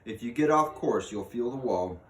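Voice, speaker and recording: A man's voice: mid-range voice, expressive, moderate pace, fairly bright tone, roomy sound, pitched above the performer's own voice, lightly acted. Man voicing a cheerful, easygoing young-hearted rat. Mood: cheerful, reassuring. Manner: cheerful, casual. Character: Justin, handsome alert male rat, courteous and easygoing.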